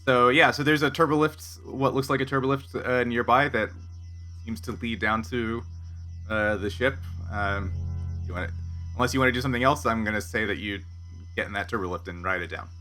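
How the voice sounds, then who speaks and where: A man's voice: mid-range voice, fairly quick, pushed and bright, soft background music. A man acting as game master, narrating a tabletop game in an informal, joking, conversational way. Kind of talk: improvised game narration, casual chat.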